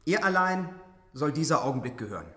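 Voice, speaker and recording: An actor playing a bold, open-hearted young hero in a fantasy radio drama, with an earnest, heroic manner. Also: male voice, fairly high pitch, very animated, brisk, fairly bright tone, big-room sound.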